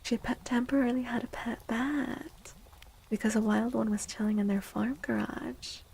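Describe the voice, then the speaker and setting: A soft-spoken woman hosting an ASMR livestream, gentle and unhurried, with a breathy, often whispered voice. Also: fairly high pitch, steady tone, slight background noise, poor audio. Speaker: livestream host and tarot reader.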